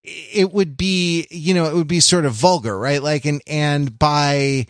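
Thoughtful, halting man on a podcast. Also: a little high, no echo, studio quality. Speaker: podcast co-host. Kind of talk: casual discussion of films.

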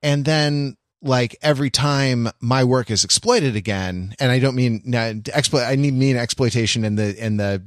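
A man co-hosting a podcast, explaining his thoughts in a halting way with many restarts. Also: mid-range voice, no echo, clean studio sound. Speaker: podcast co-host. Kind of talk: casual chat.

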